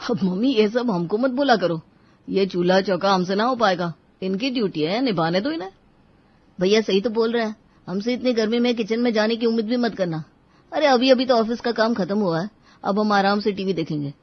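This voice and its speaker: A woman voicing a lazy, entitled grown son who whines and demands, in an animated story. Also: medium pitch, fairly quick, no room sound, muffled recording, lightly acted. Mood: demanding. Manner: whiny, demanding.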